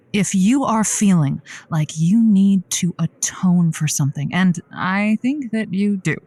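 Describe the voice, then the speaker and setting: Wry radio host, dry close sound. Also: female voice, a little high, no echo. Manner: wry, teasing, emphatic. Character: wry, encouraging female radio advice host. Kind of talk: improvised comedic radio show banter.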